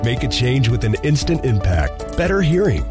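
Guy reading a friendly local radio ad, bouncy pitch, music under it. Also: big pitch swings, moderate pace. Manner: friendly promotional read. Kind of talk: radio ad voice-over.

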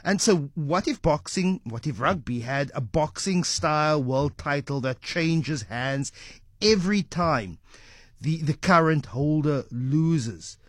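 A man's voice: fairly high pitch, expressive, takes it slowly, no echo, studio quality. A man with a South African accent, a talk show host previewing his programme in a conversational way.

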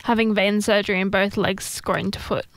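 A woman's voice: medium pitch, steady tone, moderate pace, dry recording. A woman co-hosting a live stream, reading out viewer comments in a plain, matter-of-fact way.

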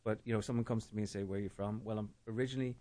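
Thoughtful middle-aged man, lowish voice. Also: steady tone, rapid-fire, mellow. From Ireland. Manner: thoughtful, repeats words while searching for them. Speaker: novelist guest. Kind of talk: reflective interview talk.

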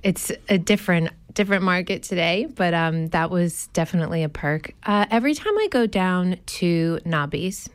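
A woman's voice: fairly low pitch, moderate pace, hardly any pauses, clean tone, dry recording. A woman in her thirties from New Jersey with a faded New Jersey accent, chatting as a radio guest, laughing often and dropping into playful whispered asides. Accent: faded New Jersey American. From New Jersey, USA. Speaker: studio guest. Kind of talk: casual radio chat.

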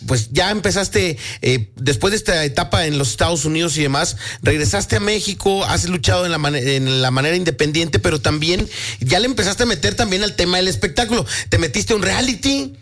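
High-voiced Mexican male interviewer. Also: fairly quick, fairly bright tone. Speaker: show host, interviewer. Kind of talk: casual entertainment interview. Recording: music playing faintly behind.

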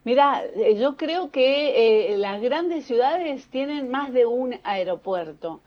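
A woman's voice: high voice, lively intonation, takes it slowly, no room sound, dull audio. An Argentine woman giving conversational news commentary, explaining things and addressing listeners directly. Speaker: radio or TV news columnist.

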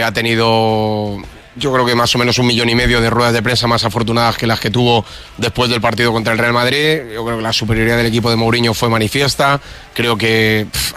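A man's voice: medium pitch, sharp tone, clean tone. A man with a Spanish accent from Spain, an insistent panelist in a radio football debate who cuts in on others. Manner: argumentative, insistent, interrupting. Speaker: sports panelist.

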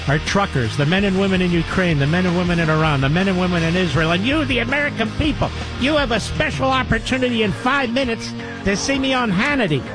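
A man's voice: sits high, music in the background. A man hosting a talk radio show and taking calls, emphatic and opinionated. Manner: emphatic, opinionated, rapid-fire.

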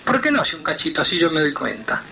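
A man's voice: fairly high pitch, big pitch swings, fast talker, creaky. A man with a Rioplatense Argentine accent in a casual phone conversation, rambling and hesitant in his phrasing. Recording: noisy phone call.

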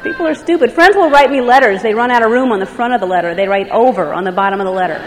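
A woman's voice: on the high side, lively intonation, rapid-fire. A woman doing a stand-up set to a live crowd, wry and observational. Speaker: stand-up comedian. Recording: noisy club with crowd. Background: crowd laughing.

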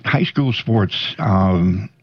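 A man hosting a radio show, speaking slowly in a meandering, folksy, joking way. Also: on the low side, expressive. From South Dakota, United States. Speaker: radio host. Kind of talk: casual radio interview. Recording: dull lo-fi radio studio audio.

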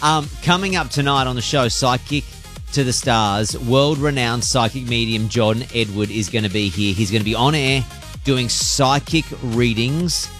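A man's voice: mid-range voice, moderate pace, fairly bright tone, faint music behind. A man hosting an evening radio show, chatty and playful, teasing his co-hosts.

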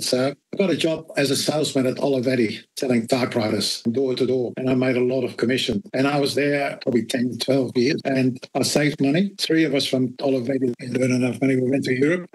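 A man in his eighties from the Netherlands with an Australian accent, recounting his life in an interview in a measured, blunt way. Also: medium pitch, husky, low-quality recording. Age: 80s. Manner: measured and blunt, stresses words with firm repetition. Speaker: interviewee, Holocaust survivor. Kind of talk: personal testimony in an interview.

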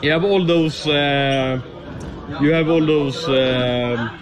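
A young man from Norway with a Norwegian accent, speaking halting English and often pausing to search for words. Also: fairly high pitch, fairly even intonation. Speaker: fisherman and nautical student. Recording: crowd noise behind. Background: crowd.

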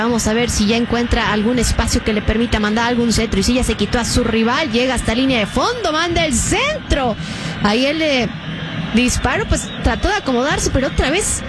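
A woman calling a live football match as play-by-play commentator, speeding up and rising with the action. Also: a little high. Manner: fast, rising with the action, many fillers. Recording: broadcast with noisy background.

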